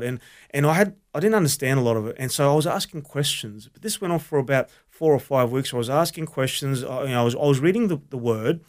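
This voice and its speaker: An Australian man with a smooth, clear voice tells his story in an interview. He speaks earnestly at a middle pitch, halting and circling back as he searches for words. He is a pastor sharing his testimony. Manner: earnest, halting, many restarts. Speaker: associate pastor, guest.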